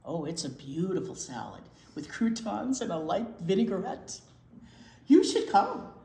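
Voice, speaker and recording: Woman acting little French snail, self-admiring, theatrical, storybook character, lively pitch, echoey room. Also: unhurried, gravelly, full character voice. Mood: vain, inviting. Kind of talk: storybook read-aloud for young children. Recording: roomy indoor echo.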